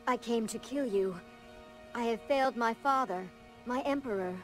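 An actress playing a proud, grim warrior princess and assassin in a video game, stern and formal. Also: female voice, a little high, normal speed, soft background music, close to an everyday voice. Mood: proud, ashamed. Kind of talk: video game drama acting.

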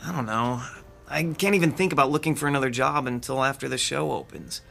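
A man's voice: medium pitch, a little fast, vocal fry, fairly bright tone, faint music behind. A man acting a wry, skeptical theatre techie with a dry, teasing manner in an audio drama. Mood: worried.

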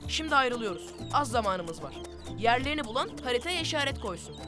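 A woman voicing a brave, resolute child leader in a cartoon, giving firm, brisk commands. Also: fairly high pitch, big pitch swings, over music. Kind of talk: cartoon voice acting.

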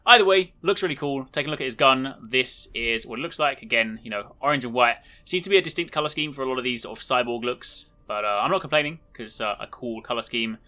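A man's voice: medium pitch, quick pace, few pauses, sharp tone. A man giving casual video game commentary as the host of a showcase video.

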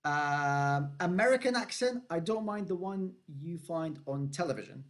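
A man's voice: on the high side, expressive, normal speed. A Syrian-born man in his thirties hosting a podcast, chatting casually with lots of restarts and laughs. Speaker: podcast host. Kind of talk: casual interview chat.

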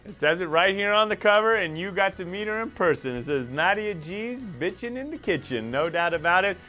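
A man's voice: high-pitched, animated, a little fast, sharp tone, faint music behind. A middle-aged man hosting a TV interview show, introducing and questioning his guest with enthusiasm. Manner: enthusiastic, showy. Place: TV studio.

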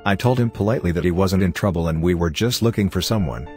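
A man reading online horror stories aloud as a scripted narration, in a low, steady storytelling delivery. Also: fast. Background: faint music.

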